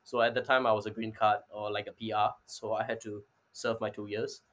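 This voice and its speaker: A man's voice: on the low side, little pitch movement, fairly quick, a bit bright. Young guy in his 20s, chatting casually in an interview, says you know a lot. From Singapore. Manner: informal, repeats "you know". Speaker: interview guest, startup advisor. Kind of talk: casual conversational interview answers.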